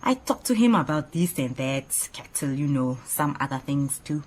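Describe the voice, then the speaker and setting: Animated, opinionated woman assistant. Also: sits low, moderate pace, rough recording. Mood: coy, chatty. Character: earnest, opinionated female detective's assistant, proud and chatty. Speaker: actor in radio drama. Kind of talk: radio drama acting.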